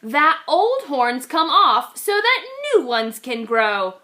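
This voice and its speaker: A woman reading a children's storybook aloud in an expressive, dramatic way with deliberate phrasing. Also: sits high. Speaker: children's read-aloud reader.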